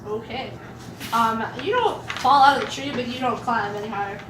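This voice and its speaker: A woman running a tabletop game, handing out outcomes in a brisk, matter-of-fact way. Her voice sits fairly high. The room echoes and there is clear background noise. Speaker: game master.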